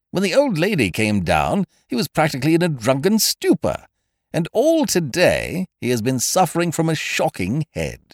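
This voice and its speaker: A man's voice: medium pitch, dry recording, clean studio sound, pitched above the performer's own voice. A man voicing a breezy, self-assured young schemer in a storybook, talking in an expansive, chummy way.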